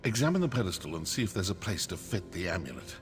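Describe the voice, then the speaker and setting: A man playing a shrewd, daring, wry adventurer in a video game, speaking in a measured, dramatic way. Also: sits low, sing-song. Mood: wry, intent. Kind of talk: video game character dialogue. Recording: long reverb, music behind.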